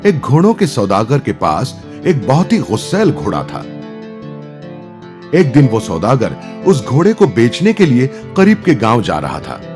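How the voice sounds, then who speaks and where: A man's voice: mid-range voice, wildly swooping pitch, measured pace. A man narrating a scripted story for children. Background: background music.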